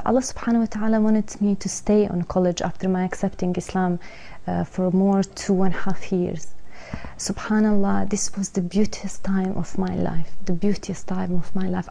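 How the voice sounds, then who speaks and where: Young woman, gravelly and warm. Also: mid-range voice, level tone, normal speed. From Serbia. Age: young adult. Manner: hesitant, searching for words with many fillers. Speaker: guest. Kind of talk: personal testimony.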